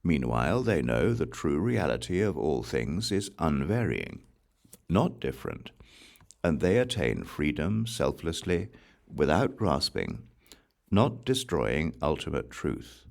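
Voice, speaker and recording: Male audiobook reader, very deep bass, creaky gravel, solemn scripture recitation, deliberate phrasing. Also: very animated, darkish tone.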